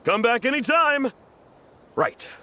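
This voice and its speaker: An actor playing a friendly, laid-back young festival vendor in a video game, talking in a casual, breezy way. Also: male voice, very high-pitched, sing-song, slow pace, pushed and bright, slight background noise, full character voice.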